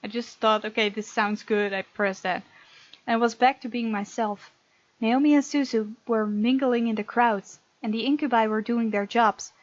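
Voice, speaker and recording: Playful high-voiced woman doing a let's play. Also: steady tone, normal speed. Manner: playful, reacts out loud to characters. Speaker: gaming video host. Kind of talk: let's play, reading game text aloud with casual reactions. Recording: slightly dull sound, music behind. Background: game music.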